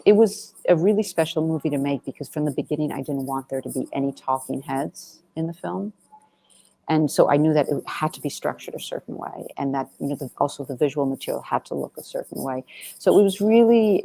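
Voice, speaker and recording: A woman film director answering interview questions in a reflective, earnest way, often halting and rephrasing as she goes. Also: deep voice, vocal fry, dark tone, poor audio. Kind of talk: reflective Q&A conversation.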